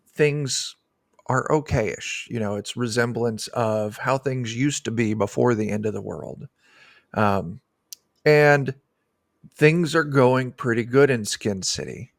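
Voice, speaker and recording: A middle-aged man explaining things casually and haltingly as an interview guest, speaking into a desk microphone. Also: on the low side, fairly bright tone, no echo, studio quality. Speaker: guest, tabletop game designer. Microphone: desk microphone on a video call.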